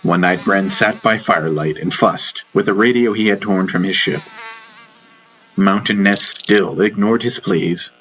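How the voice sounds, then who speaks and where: A man's voice: low-pitched, fairly bright tone, a little hiss or hum, low-quality recording. A man reading a scripted first-person story as an audiobook narrator, with music behind him. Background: background music.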